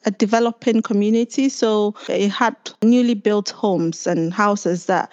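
A woman's voice: mid-range voice, steady tone, unhurried, darkish tone, highs cut off. A woman in her thirties from Ghana, chatting as a panel guest and telling stories with hesitations. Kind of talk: casual conversation.

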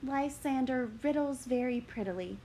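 A gentle, coy young woman, read by a woman. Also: high-pitched, a little hiss or hum, rough recording. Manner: gentle, measured verse delivery. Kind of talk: dramatic reading of verse.

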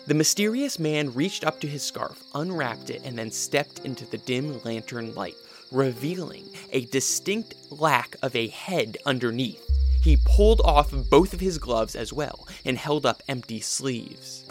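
A man's voice: medium pitch, expressive, measured pace, faint music behind. A man narrating a scripted radio drama in a storytelling style.

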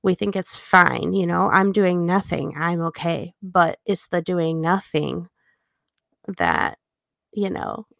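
Female podcast co-host, casual and thoughtful book discussion, noticeable vocal fry, close dry sound. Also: fairly low pitch, expressive, slow, fairly bright tone, no room sound.

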